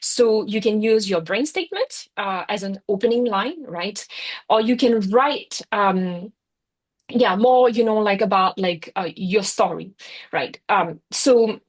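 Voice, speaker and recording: A woman's voice: mid-range voice, measured pace. A middle-aged woman with a French accent gives an online workshop talk in a chatty way, filling her sentences with "you know, like" and "right?". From France. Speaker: workshop speaker. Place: Singapore.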